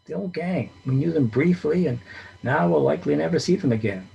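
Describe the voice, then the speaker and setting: A man's voice: a little high, animated, brisk, creaky, warm tone, breathy edge, pitched above the performer's own voice, exaggerated voice, low-quality recording. A man reading as a weary, wistful man in his sixties, speaking in a raised, wondering voice.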